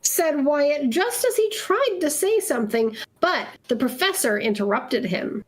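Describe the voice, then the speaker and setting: A woman's voice: sits high, animated, unhurried. A woman narrating fan fiction aloud for laughs in an amused, theatrical storytelling way. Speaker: narrator in a comedy reading group.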